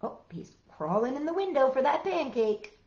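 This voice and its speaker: Woman storytime host for young children, high lively voice, exclaims and chats to listeners between lines, roomy sound. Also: normal speed, a little hiss or hum. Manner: animated, exclaiming, addressing children directly. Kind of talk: read-aloud storytelling for young children with chatty asides. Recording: roomy, dull lo-fi sound, faint music.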